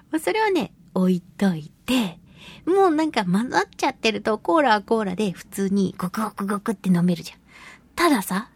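A woman hosting a casual solo radio talk and reading listener mail, chatty, giggly and expressive, with a slightly breathy voice. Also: mid-range voice. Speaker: radio show host and voice actress.

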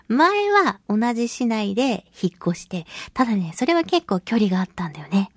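A woman's voice: a little high, big pitch swings, a little slow, slightly rough, no room sound, clean studio sound. A woman hosting a casual solo radio talk, chatting in a playful manner. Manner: playful, chatty, laughs often. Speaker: radio show host and voice actress.

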